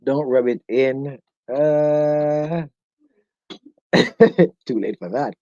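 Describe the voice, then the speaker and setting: A man's voice: fairly high pitch, very slow, dark tone, no room sound. A man with a Jamaican accent hosting a casual live discussion, joking and laughing often with the viewers. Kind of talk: casual live-stream chat. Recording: dull lo-fi sound.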